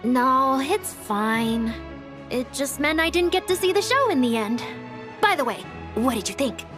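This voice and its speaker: A woman's voice: high-pitched, animated, unhurried, over music. A woman voicing a chatty young little sister in a game cutscene, frank and a little reproachful. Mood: curious. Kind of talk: animated game cutscene acting.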